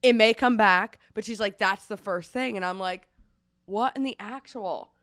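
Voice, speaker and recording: A woman in her thirties, congested and losing her voice, chatting at home and telling a lively story over a video call. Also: medium pitch, moderate pace, no echo, studio quality. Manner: chatty storytelling, animated retelling. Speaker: co-host. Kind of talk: casual chat. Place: at home in Seattle.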